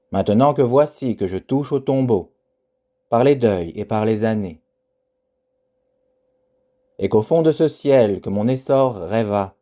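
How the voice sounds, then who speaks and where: Slow, very dark-voiced man reciting poetry. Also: medium pitch. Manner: solemn, measured, with deliberate pauses. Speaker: poetry reader.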